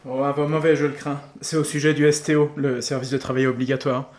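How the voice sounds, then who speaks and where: A man playing a grave village gendarme in an audio drama, giving urgent, measured warnings. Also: medium pitch, level tone, darkish tone, natural voice. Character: grave village gendarme bringing bad news, loyal friend. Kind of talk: French audio drama acting.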